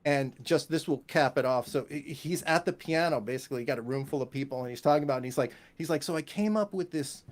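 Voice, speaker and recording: A man speaking casually as a guest composer and teacher, telling animated anecdotes and acting out what people said. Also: a little high, quick pace, few pauses. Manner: animated anecdotes, quotes others and sings musical examples. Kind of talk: casual interview storytelling.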